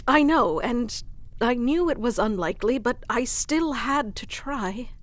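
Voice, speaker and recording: A woman's voice: on the high side, animated, a little slow, no room sound. A woman voicing a devoted, lovestruck fantasy noblewoman in an ASMR romance roleplay, earnest and dramatic with formal storybook phrasing. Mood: rueful, earnest.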